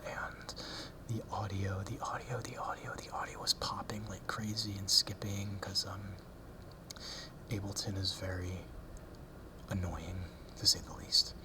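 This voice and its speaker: A man's voice: sits low, low-quality recording. Whispering man rambling close to the mic. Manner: soft unvoiced whispering, repeats words as triggers; rambling, nervous, with ums and small laughs. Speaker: ASMR creator. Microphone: close ASMR mic, brushed.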